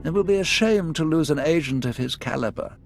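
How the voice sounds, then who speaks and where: Courtly, scheming Sith lord, animated swooping voice. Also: male voice, a little high, fairly quick, gravelly, soft background music. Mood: conspiratorial. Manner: formal, courtly, eloquent. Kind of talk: video game cutscene acting.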